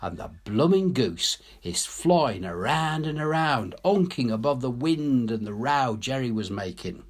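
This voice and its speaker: A man's voice: mid-range voice, measured pace, hardly any pauses. A man reading a story as a working-class English soldier, telling his war tale colloquially, wry and awed. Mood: excited, awed. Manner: colloquial, ungrammatical, animated storytelling. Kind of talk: dramatic audiobook reading.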